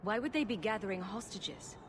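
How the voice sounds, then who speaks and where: A woman's voice: mid-range voice, animated, fairly quick, close to an everyday voice. An actress playing a humorous, sarcastic female rogue hero with a dry way of speaking. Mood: wry. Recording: game audio over ambient noise.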